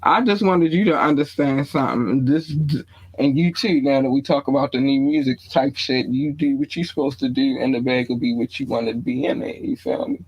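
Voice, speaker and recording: A man's voice: mid-range voice, fairly quick, darkish tone, breathy edge. A man with a Baltimore accent hosting a podcast in casual, blunt talk with some swearing, on a lo-fi recording. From born in North Carolina, raised in Baltimore. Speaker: podcast host. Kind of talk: casual chat. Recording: dull lo-fi sound.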